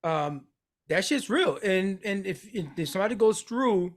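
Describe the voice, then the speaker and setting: A man co-hosting a casual chat, talking bluntly with lots of swearing and animated retelling. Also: high-pitched, a little slow. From United States. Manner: blunt, profane, animated storytelling. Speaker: podcast co-host.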